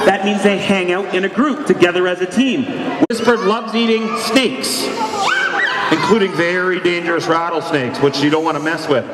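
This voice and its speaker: A man with a fairly high voice runs a bird show for a crowd, upbeat and full of questions for the audience. His voice comes through a PA and rings around a big mall atrium. Crowd chatter and mall noise fill the space behind him. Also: echoey room, big-room sound. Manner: upbeat, quizzing the audience, joking. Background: crowd chatter and mall ambience.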